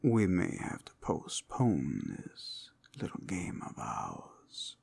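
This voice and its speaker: A man's voice: extremely low pitch, huge pitch swings, takes it slowly, creaky, darkish tone, slightly rough, heavily acted. A man playing a flirtatious, protective werewolf guide in an ASMR roleplay, teasing and folksy with a sardonic edge. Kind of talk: ASMR roleplay audio drama.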